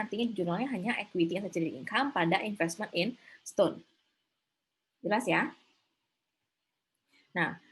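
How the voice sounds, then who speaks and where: Slightly husky woman lecturing informally. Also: medium pitch, poor audio. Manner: conversational, often seeking confirmation with short tag questions. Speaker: accounting lecturer. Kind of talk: informal online lecture, thinking aloud with frequent questions to students. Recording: slightly rough online class recording.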